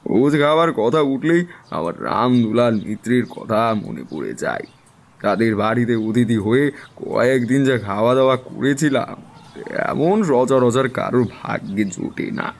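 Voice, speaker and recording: An actor playing a seasoned, middle-aged tantric astrologer, reminiscing in a creaky, reflective way as in a dramatised audiobook. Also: male voice, medium pitch, lively intonation, a little slow, long reverb tail, slight background noise. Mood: musing. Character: Taranath, seasoned Bengali tantric astrologer, easygoing storyteller.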